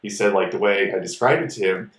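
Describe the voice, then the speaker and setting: A man from Ecuador co-hosting a podcast, telling stories in a rambling, animated way full of "like" fillers. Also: lowish voice, fast, roomy sound. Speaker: podcast co-host. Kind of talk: casual storytelling chat.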